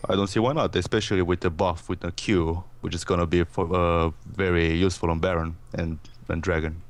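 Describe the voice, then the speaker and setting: A man's voice: low-pitched, fairly even intonation, a little fast. A man co-commentating a video game in casual chat, with dry, agreeable remarks.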